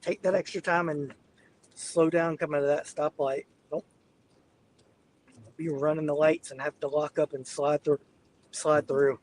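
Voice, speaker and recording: Man with a raspy, flu-worn voice, a bit higher pitched, light road noise behind him, talks to viewers while driving. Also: little pitch movement, unhurried, long pauses, poor audio. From Southeast Georgia. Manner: easygoing, addresses viewers as y'all. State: getting over the flu, hiccups. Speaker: weather livestreamer. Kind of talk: live storm-chasing stream commentary. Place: inside a car, driving on icy roads.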